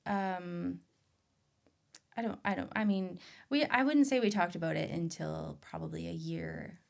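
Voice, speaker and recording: Female podcast guest, lowish pitch with heavy vocal fry, informal and candid personal storytelling. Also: measured pace. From remote rural island.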